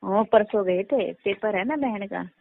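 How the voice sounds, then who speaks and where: A woman's voice: medium pitch, unhurried, creaky, a little hiss or hum. Woman chatting on a narrow phone line. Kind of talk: casual phone chat. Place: recorded phone call.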